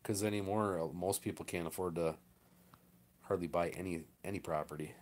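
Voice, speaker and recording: Male farmer, lower-pitched voice; plainspoken, rambling livestream host. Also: fairly even intonation, a little fast, a little mellow. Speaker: farmer hosting a live stream. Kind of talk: casual chat, answering viewer comments.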